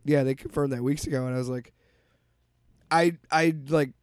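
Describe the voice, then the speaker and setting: A man co-hosting a casual podcast chat, hoarse from a cold, rambling and restarting his sentences. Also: mid-range voice, fairly even intonation, normal speed, no room sound, clean studio sound. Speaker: podcast co-host.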